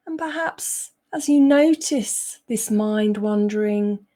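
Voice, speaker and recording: A woman meditation coach with a relaxed, mellow tone and a middle-range pitch. She speaks slowly, pausing often between short phrases. Her guidance is gentle and instructional throughout. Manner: gentle, unhurried, instructional with frequent pauses. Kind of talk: guided meditation.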